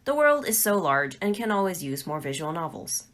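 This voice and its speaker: A woman's voice: fairly low pitch, very animated, normal speed, a bit bright. A woman presenting a scripted tutorial as a narrator.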